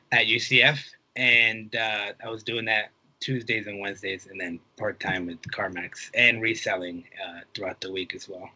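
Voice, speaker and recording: A man's voice: medium pitch, sharp tone. A man in his twenties giving a casual interview, telling stories informally and quoting his own thoughts. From United States, raised there from age three after birth in Mexico. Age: 20s. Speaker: interview guest, reseller. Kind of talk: casual chat.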